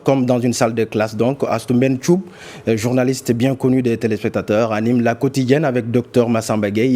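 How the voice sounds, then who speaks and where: Male news presenter, mellow dark timbre, mid pitch, semi-scripted reporting. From Senegal.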